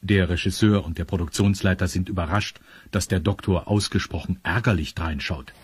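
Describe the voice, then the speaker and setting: A man with a husky voice reading scripted narration for a children's audio story. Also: low voice, steady tone, moderate pace, a little hiss or hum. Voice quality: husky, raspy. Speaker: narrator of a children's radio play.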